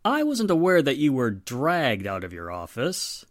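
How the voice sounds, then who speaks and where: An actor playing a firm, courteous police inspector with a formal, authoritative manner in 1940s radio drama style. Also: male voice, medium pitch, wildly swooping pitch, a little slow, no echo, studio quality. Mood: polite, measured.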